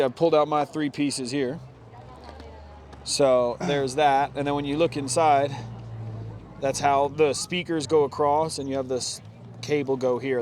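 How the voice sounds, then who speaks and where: A man's voice: medium pitch, a little mellow, a little hiss or hum. A man chatting casually and informally as he talks through hands-on gear installation, outdoors among friends. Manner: informal, slangy, says dude. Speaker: motorcycle vlogger.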